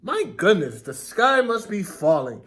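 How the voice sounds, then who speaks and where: Guy doing a worried mother hen type from a kids storybook, high pitched, fretting and insisting. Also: sing-song, measured pace, sharp tone, heavily acted. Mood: alarmed, insistent. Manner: fretful, exclaiming, insistent. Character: anxious, worrying hen, female, insistent and alarmed. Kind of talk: children's storybook read-aloud.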